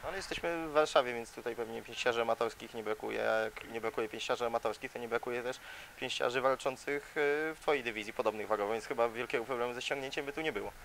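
Gravelly-voiced male sports interviewer. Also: mid-range voice, fast talker, a bit bright, slight background noise. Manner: long leading questions with frequent fillers.

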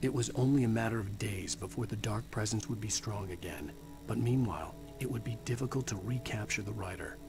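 An actor voicing a brooding thriller writer, giving grave, measured narration in a video game. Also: male voice, fairly low pitch, close to an everyday voice. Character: brooding male thriller writer telling his own dark story. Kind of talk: scripted noir narration. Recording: game audio with loud music behind.

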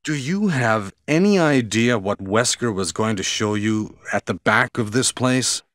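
An actor playing an apologetic, earnest police comrade in a video game, with stilted delivery. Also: male voice, medium pitch, lively intonation, a little slow, fairly bright tone, no echo, clean studio sound. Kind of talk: video game cutscene acting.

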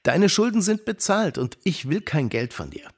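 A man playing a kind, wise uncle who gently instructs children in an audio drama. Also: high-pitched, big pitch swings, moderate pace, husky, heavily acted. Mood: kindly, firm.

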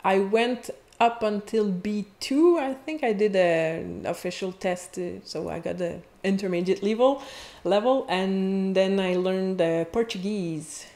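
A woman in her thirties with a Quebec French accent, a French teacher talking as a guest in an animated interview with many restarts, heard over earphone mic on a video call. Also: medium pitch, measured pace. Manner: animated, chatty, frequent restarts and laughs. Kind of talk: casual interview chat. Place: indoor home office. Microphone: wired earphones with mic on a video call.

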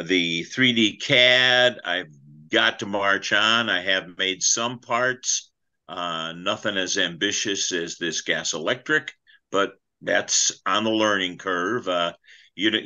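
An older man talks over a webcam call in a low yet bright, forceful voice. He rambles through his plans, trailing off and poking fun at himself. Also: takes it slowly, highs cut off. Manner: rambling, self-deprecating, trailing off. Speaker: clinic presenter. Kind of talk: casual video call chat. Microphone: video call webcam.

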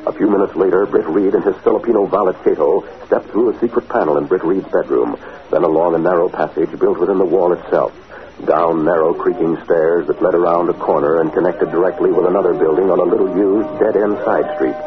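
A man gives solemn scripted narration for an old radio serial. His voice is very deep, on a tinny broadcast recording with a narrow band. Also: steady tone, a little fast, close to an everyday voice. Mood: suspenseful.

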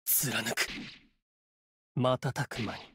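A man voicing a solemn, cryptic sword spirit warrior in a Japanese game, with measured, portentous, archaic phrasing and a breathy voice. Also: medium pitch, fairly even intonation, fairly bright tone, slight background noise. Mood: menacing. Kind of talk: Japanese game character voice lines.

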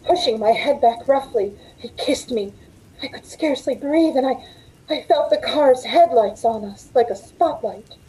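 An actress playing a frightened but quick-witted waitress, narrating an old-time radio thriller in a hesitant, breathless way. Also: female voice, high-pitched, mellow, natural voice, poor audio. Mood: alarmed. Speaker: narrator and lead of the play. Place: indoor room at home, on a video call.

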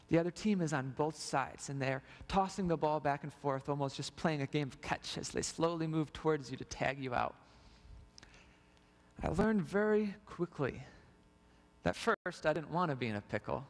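A young man preaching a sermon, telling the story while walking the stage of a large church sanctuary. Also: a little high. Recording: long reverb of a church hall.

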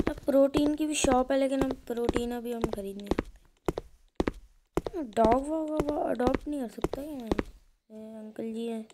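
A woman giving casual, chatty gameplay commentary, addressing viewers informally like friends. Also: a little high. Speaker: gaming video creator. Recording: very noisy phone recording with clicks.

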